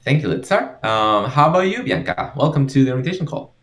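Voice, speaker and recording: A man hosting an orientation call, welcoming newcomers and prompting introductions slowly. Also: medium pitch, big pitch swings, a bit bright, roomy sound. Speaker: call host.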